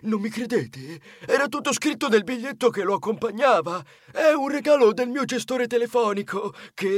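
Cocky freeloader mouse, male actor, boastful, extremely high pitch, children's audiobook, dry studio sound. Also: fairly bright tone, dry recording, exaggerated voice. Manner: bragging, cocky.

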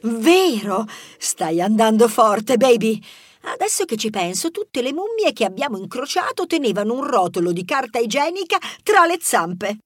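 A woman voicing a bold, sassy female mouse in a storybook, with a lively manner. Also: a little high, moderate pace, breathy edge, no room sound. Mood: excited.

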